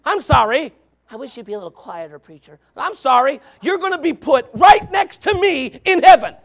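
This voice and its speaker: A male pastor delivering a fiery revival sermon in a strikingly high voice for a man. He shouts his big lines and throws in quick jokes at the congregation between them. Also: big pitch swings. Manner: loud, shouting, with joking asides to the congregation.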